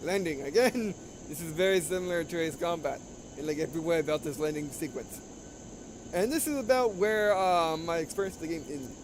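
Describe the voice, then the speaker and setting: A man giving casual gameplay commentary, halting and often stumbling over and repeating his phrases. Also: fairly high pitch, expressive, background noise. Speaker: gaming video host. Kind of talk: casual gameplay commentary with read-aloud dialogue.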